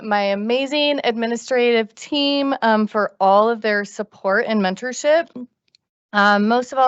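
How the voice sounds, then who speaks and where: A woman who was just appointed as an administrator gives a short, measured thank-you speech with small ums. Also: medium pitch, smooth, no echo, highs cut off. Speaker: newly appointed special education administrator.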